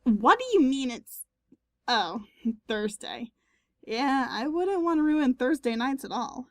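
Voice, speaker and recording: A woman's voice: high-pitched, lively intonation, takes it slowly, no room sound, studio quality. A woman voicing a taunting female pony musician, sarcastic and needling, in a dramatised story reading. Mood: sly.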